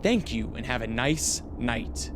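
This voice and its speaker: A man narrating a scripted audio drama in a measured way with dramatic pauses. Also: medium pitch, animated. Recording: noticeable background noise.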